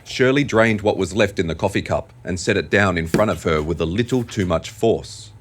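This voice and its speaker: A man narrating an audio drama in a measured, deliberate, scripted storytelling style. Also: deep voice.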